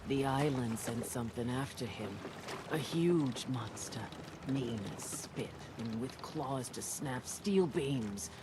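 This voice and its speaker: A man's voice: medium pitch, takes it slowly, noisy background, close to an everyday voice. An actor voicing a folksy man in a video game who spins a long tale, talking in a homespun storytelling way. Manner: folksy yarn-spinning storyteller. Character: folksy, crafty island quest-giver man.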